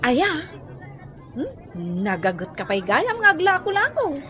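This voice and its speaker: A woman's voice: very high voice, measured pace, soft background music, exaggerated voice. An actress playing a dramatic, teasing village woman in an Ilocano radio comedy, with theatrical delivery and an Ilocano accent. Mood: teasing, gossipy. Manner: theatrical, expressive.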